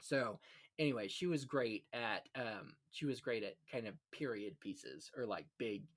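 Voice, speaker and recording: A man's voice: on the low side, lively intonation, measured pace, fairly bright tone. A man hosting a casual film-history discussion, talking in an effusive, opinionated way full of anecdotes. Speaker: podcast host on classic Hollywood films.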